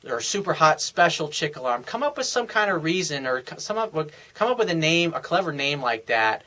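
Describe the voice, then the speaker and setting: A man's voice: a little high, big pitch swings, a little fast, pushed and bright. A male dating coach giving blunt, emphatic advice in a monologue, swearing freely and often restarting his phrases. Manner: repeats and restarts phrases as he talks. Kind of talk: monologue answering a viewer's question.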